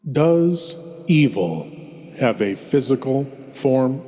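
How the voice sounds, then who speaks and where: A man's voice rings with long reverb as he hosts a horror show. He delivers his scripted introduction slowly at a medium pitch, theatrical and ominous, pausing deliberately between phrases. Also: very animated, dark tone. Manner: dramatic, ominous, deliberate pauses.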